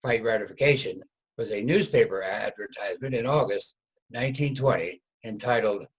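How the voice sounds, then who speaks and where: A man's voice: fairly low pitch, moderate pace, breathy edge, low-quality recording. A man reading scripted narration as the narrator of a reader's theater production.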